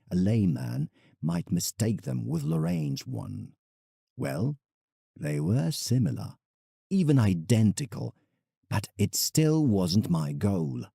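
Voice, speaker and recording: An actor playing an ambitious, self-mocking painter, narrating an audio drama in a dramatic, confessional way. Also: male voice, on the low side, vocal fry, warm tone, husky, dry recording, studio quality, exaggerated voice. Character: proud, ambitious, self-mocking British landscape painter writing letters.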